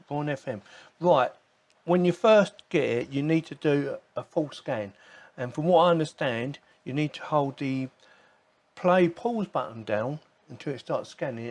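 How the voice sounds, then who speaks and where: A man's voice: fairly high pitch, unhurried, darkish tone. A man giving a casual hands-on unboxing and review, informal and rambling as he thinks aloud. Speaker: host of a tech review channel.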